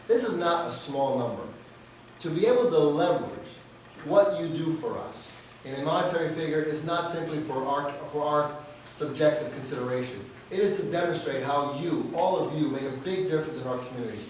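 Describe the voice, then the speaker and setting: Hoarse male presenter, emphatic and proud, slightly high voice, booming room sound. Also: fairly quick, a little hiss or hum. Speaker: organization leader presenting annual results. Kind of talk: annual meeting speech. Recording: very echoey hall, far from mic.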